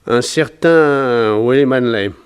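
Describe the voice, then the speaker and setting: An actor playing a resigned, talkative ship's security officer, conversational and wry, in a 1960s French radio serial. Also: male voice, mid-range voice, slow, smooth, lightly acted. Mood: resigned, reporting.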